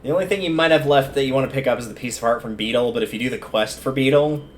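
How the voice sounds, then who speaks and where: A man's voice: mid-range voice, rapid-fire. A man livestreaming a video game, giving casual commentary and thinking aloud in a conversational way as he plays. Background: video game music.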